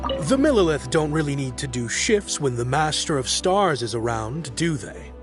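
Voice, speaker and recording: An actor playing a talkative merchant in a game, with hesitant fillers. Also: male voice, on the high side, big pitch swings, normal speed, over music.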